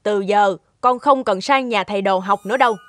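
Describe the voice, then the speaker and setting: An actress playing a greedy, domineering middle-aged stepmother in an animated folk tale, with a sharp, scolding manner. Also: mid-range voice, a little fast, dry recording, clean studio sound, natural voice. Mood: stern scolding.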